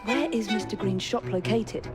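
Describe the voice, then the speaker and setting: A woman's voice: mid-range voice, normal speed, natural voice. Actress as a composed young English female assassin. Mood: determined, wry. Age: 20s. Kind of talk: video game cutscene acting. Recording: game dialogue over music.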